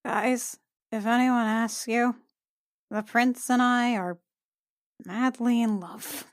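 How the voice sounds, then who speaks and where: A woman playing a tabletop game, improvising casually in character with a halting, flustered, awkward manner. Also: a little high, steady tone, dry recording.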